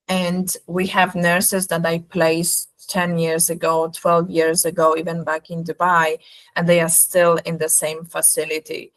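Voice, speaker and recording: Low-pitched woman with a Polish accent. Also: vocal fry, fairly bright tone, low-quality recording. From Poland. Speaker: recruitment agency representative presenting. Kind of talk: webinar sales presentation.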